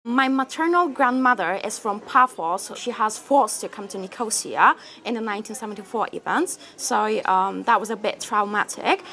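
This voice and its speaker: A woman's voice: medium pitch, moderate pace, hardly any pauses, sharp tone. A woman, a student speaking openly about her home in a street interview. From Northern Cyprus. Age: 20s.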